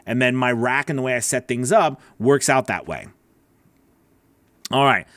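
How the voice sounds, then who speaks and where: A man's voice: medium pitch, expressive, moderate pace, a bit bright, no room sound. A man hosting a casual livestream Q&A as a voice-over coach, rambling with many fillers and restarts.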